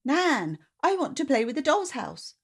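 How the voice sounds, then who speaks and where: A woman's voice: high voice, big pitch swings, unhurried, voice pushed up, heavily acted. A woman voicing an upset little girl who pleads in a childlike way in a storybook reading. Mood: pleading.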